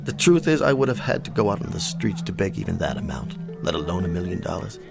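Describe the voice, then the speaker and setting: Proud aristocratic colonel, lowish voice, highly animated, formal, bitter, radio drama, music underneath. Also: male voice, vocal fry, exaggerated voice. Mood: bitter, humbled. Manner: pompous, formal, halting delivery.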